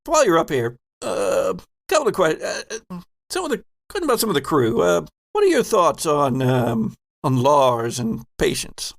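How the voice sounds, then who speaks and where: A man's voice: high-pitched, very animated, vocal fry, pitched above the performer's own voice, full character voice. A man playing a polite, hesitant spaceship boss who hedges his way through sentences in a tabletop game. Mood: awkward, probing. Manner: halting, hedging, mild-mannered. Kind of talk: improvised in-character dialogue in a tabletop game.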